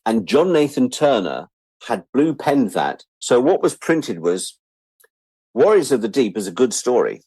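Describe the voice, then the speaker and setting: A man's voice: mid-range voice, sing-song, a little slow, a little mellow, slightly rough, dry recording. An older man with a British accent telling rambling, candid anecdotes as an interview guest, sweary and self-deprecating. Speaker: interview guest, writer-producer.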